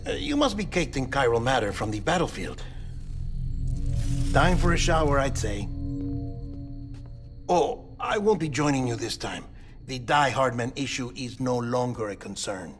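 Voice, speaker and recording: A man's voice: medium pitch, very animated, normal speed, long pauses, close to an everyday voice. A man playing a wry, confiding agent who reports his findings in a dry, conversational way in a game cutscene. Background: music.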